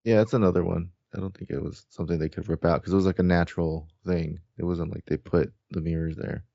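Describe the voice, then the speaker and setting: Deep creaky voiced guy, casual podcast cohost, kinda hesitant, bit muffled. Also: fairly even intonation, fast talker, no echo. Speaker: podcast co-host. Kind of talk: casual chat.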